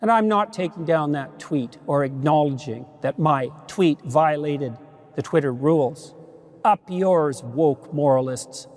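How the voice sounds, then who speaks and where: A man making a defiant, combative spoken statement in a deliberate way. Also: on the high side, a little mellow. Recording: long hall-like reverb.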